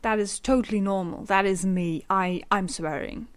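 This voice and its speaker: A woman's voice: mid-range voice, moderate pace. A young woman from Germany with a German accent, rambling and laughing as she hosts an unscripted solo podcast from home on a condenser mic. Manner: rambling, self-deprecating, laughs often. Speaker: podcast host. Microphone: USB condenser microphone.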